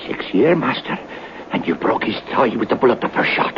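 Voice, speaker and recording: An actor playing a loyal, fretful old Irish butler in an old-time radio drama, excitable and deferential. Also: male voice, mid-range voice, a little fast, natural voice. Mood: anxious, eager. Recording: noisy, muffled old radio recording.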